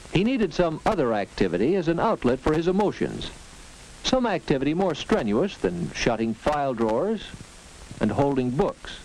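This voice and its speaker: A man narrates a vintage instructional film in an earnest, teacherly way. His voice rises and falls with lively expression. The old soundtrack carries a faint hiss and occasional clicks. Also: mid-range voice, rough recording. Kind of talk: 1940s educational film narration.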